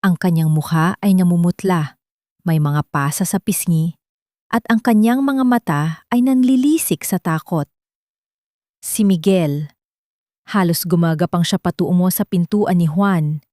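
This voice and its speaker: A woman's voice: on the low side, unhurried, pauses often, dark tone, no room sound. Woman narrator, dry close sound. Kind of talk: dramatic scripted story narration.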